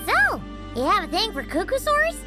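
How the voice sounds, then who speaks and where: Casting: cute floating game sidekick, childlike squeak, very high and animated, easily indignant. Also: female voice, moderate pace, heavily acted. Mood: curious. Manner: refers to self in third person, exclamatory. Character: tiny excitable female floating fairy companion, cute, indignant. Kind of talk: video game character acting. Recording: music playing behind the voice. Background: game music.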